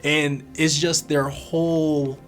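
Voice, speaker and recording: A man in his twenties tells a casual anecdote, acting out back-and-forth exchanges in an animated way. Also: on the high side, slow pace, faint music behind. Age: 20s. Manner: animated, acts out quoted exchanges. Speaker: interviewee, youngest player.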